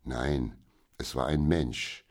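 A wise, gentle old merchant, as a male reader plays him, in a very deep, hushed voice. He speaks in a calm, soothing and measured way. A storybook audiobook father figure. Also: exaggerated voice. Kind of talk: audiobook character voice.

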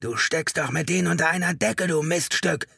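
A man voicing a frantic, paranoid hostage-taker in agony for an audiobook, with a high, trembling, strained voice, ranting and accusing. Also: moderate pace, creaky, sharp tone, slightly rough, dry recording, studio quality, heavily acted. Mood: enraged, paranoid. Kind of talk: audiobook character acting.

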